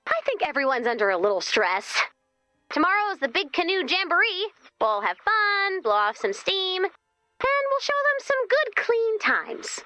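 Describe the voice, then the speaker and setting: A very high-pitched, bossy camp leader for a video game, peppy and easily exasperated. Her delivery swoops up and down with lively, animated swings, and the tone is bright and forceful. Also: a little slow, dry recording, soft background music, exaggerated voice. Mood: upbeat. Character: chirpy, bossy robot woman running a summer camp.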